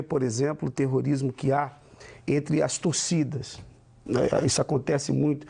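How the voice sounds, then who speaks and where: A man's voice: on the high side, gravelly, dark tone, slightly rough. A man commenting on a discussion panel, explaining his point by drawing comparisons.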